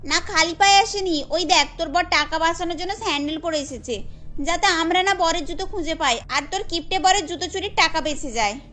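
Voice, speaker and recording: A woman's voice: extremely high pitch, a little fast, fairly bright tone, faint music behind. A woman voicing a bossy, scheming young woman in a cartoon, chiding and chatty. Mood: exasperated.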